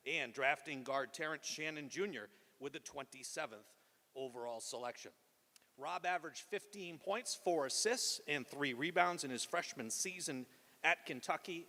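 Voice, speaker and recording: A man's voice: a little high, bright, forceful tone. A man moderating a press conference with scripted remarks in a polished announcer delivery. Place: large indoor arena press conference. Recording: echoey hall with long reverb.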